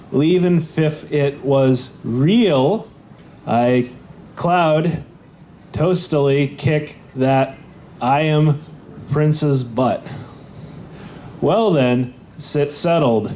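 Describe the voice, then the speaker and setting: A man on a convention panel haltingly reading garbled fan fiction aloud. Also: on the high side, darkish tone, slight background noise.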